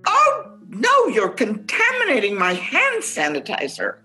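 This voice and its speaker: A woman's voice: high-pitched, wildly swooping pitch, a little slow, sharp tone, soft background music, full character voice. An actress playing a fussy, anxious germophobic woman in a radio play, with precise, prissy, easily exasperated delivery. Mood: irritated, fussy. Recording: home-recorded virtual radio play.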